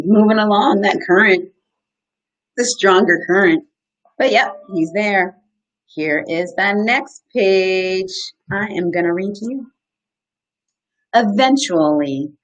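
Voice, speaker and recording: A woman's voice: medium pitch, poor audio. Woman leading an interactive children's story time. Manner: animated, asks the listeners simple questions. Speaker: outdoor educator reading to children.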